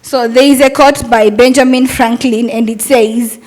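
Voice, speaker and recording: A woman's voice: on the high side, fairly even intonation, normal speed, a little mellow, poor audio. A young adult woman, a Montessori teacher, giving an earnest, persuasive talk at a summit and asking rhetorical questions.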